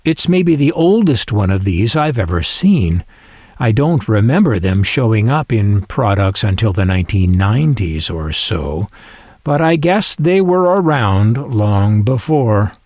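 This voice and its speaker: Slow, dry-voiced man showing off a collectible. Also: lowish voice, big pitch swings, darkish tone, dry recording. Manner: dry, wry asides while reading aloud from a manual. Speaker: collector video host. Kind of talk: unhurried descriptive show-and-tell.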